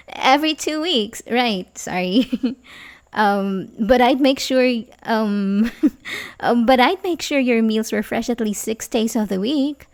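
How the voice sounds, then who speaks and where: A woman's voice: a little high, gravelly, a little mellow. A woman playing an obsessive, manipulative young babysitter who feigns sympathy, in an intimate, hesitant ASMR roleplay style. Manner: hesitant, stammering, nervous chuckles, sighs. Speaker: audio roleplay performer.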